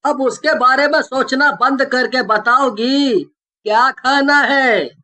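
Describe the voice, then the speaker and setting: A woman plays a devoted young husband in an animated horror tale. The voice is high, sharp and bright, taking its time. He sounds practical and indulgent, teasing as he reassures. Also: slow, exaggerated voice. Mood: teasing, chiding. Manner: reassuring, gently chiding. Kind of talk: animated horror story dialogue.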